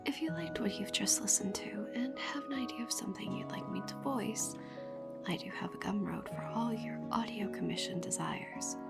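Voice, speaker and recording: A woman hosting an ASMR channel, speaking softly and breathily, often near a whisper, as she addresses her listeners. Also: mid-range voice, normal speed, vocal fry, over loud music. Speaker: channel host and voice performer. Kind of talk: soft-spoken ASMR sign-off.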